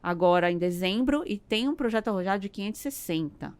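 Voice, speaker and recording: A woman's voice: mid-range voice, expressive, fast, a little mellow, clean tone. A Brazilian woman speaking as a business owner in a conversational interview, searching for words and often adding tag questions. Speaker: podcast guest, business owner.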